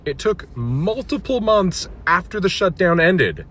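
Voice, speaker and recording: A man's voice: high voice, big pitch swings, a little slow, fairly bright tone. A man who works as an air traffic controller, explaining things directly to viewers.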